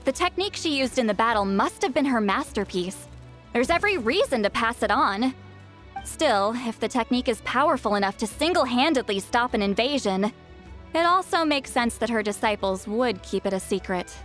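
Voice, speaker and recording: A woman's voice: a little high, animated, normal speed, fairly bright tone. A woman playing a proud, grumpy, easily flustered great shaman in an anime game, with a haughty, huffy manner. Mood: smug, musing. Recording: background music under the voice.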